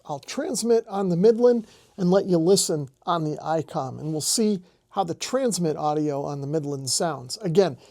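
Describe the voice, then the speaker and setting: High-pitched American man, close dry sound. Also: gravelly, warm tone, dry recording. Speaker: host, amateur radio hobbyist. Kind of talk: casual hands-on demonstration narration.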